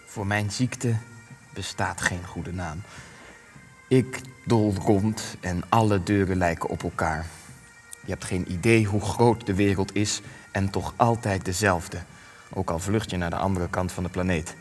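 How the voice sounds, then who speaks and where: An actor playing a frail old war veteran in a radio drama, wistful and bitter, musing hesitantly and stumbling over repeated words. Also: male voice, low voice, steady tone, unhurried, big-room sound, faint music behind. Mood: weary, bitter. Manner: hesitant, rambling, musing, with stammered repetitions.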